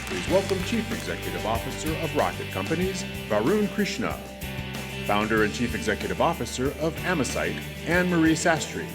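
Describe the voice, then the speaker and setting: A male announcer reads a formal stage introduction with lively, swinging intonation at a medium pitch. Music with plucked guitar plays clearly behind him as he presents names and titles. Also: brisk, a loud crowd. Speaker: event announcer.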